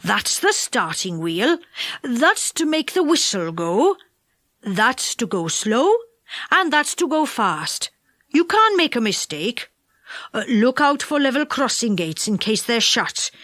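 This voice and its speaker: A helpful storybook train driver, an easygoing man, voiced by a woman. He explains in a measured, instructive way, close and dry on the recording. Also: a little high, sing-song, a bit bright, no echo, exaggerated voice. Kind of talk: children's storybook character reading.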